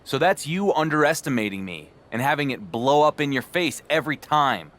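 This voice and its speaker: An actor playing a calmly defiant male wrestling champion in a game cutscene, speaking in a smug, deliberate way. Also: a little high. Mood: cool defiance. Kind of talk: scripted wrestling drama cutscene.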